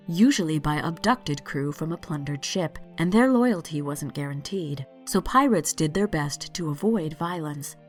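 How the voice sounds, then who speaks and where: A woman host reading a scripted solo podcast episode in a calm, measured way. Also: sits low. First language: not French.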